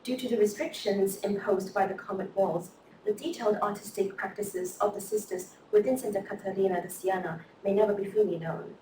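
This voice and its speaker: A woman's voice: mid-range voice, brisk, noisy background. Woman reading a paper in an echoey hall. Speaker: conference speaker. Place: lecture room. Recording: echoey lecture hall, distant mic.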